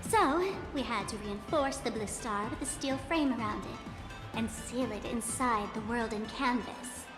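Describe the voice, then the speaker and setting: A woman's voice: a little high, a little slow, natural voice. A woman voicing a playful, sly, teasing female trickster in a video game, with theatrical delivery. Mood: sly, explaining. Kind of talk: video game character acting. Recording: echoey hall reverb, loud music behind. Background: music.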